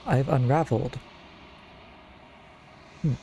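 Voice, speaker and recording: A man streaming a game casually, reading choices aloud in a quiet, laid-back manner. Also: medium pitch, takes it slowly, creaky. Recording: faint background noise.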